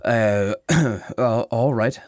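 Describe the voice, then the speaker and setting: A man's voice: medium pitch, extremely slow, a little mellow, no room sound, clean studio sound. A man playing a reserved, dutiful knight in a fantasy audio drama, terse, guarded and formal. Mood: shy, awkward. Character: reserved, dutiful male knight, shyly devoted.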